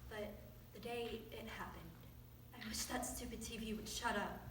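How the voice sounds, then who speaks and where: A woman's voice: a little high, moderate pace, recorded from a distance, lightly acted. A young woman acting an earnest small-town woman telling of family tragedy in a dramatic stage monologue, in an echoey, noisy room. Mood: reflective. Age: young adult. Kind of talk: dramatic monologue in readers theater.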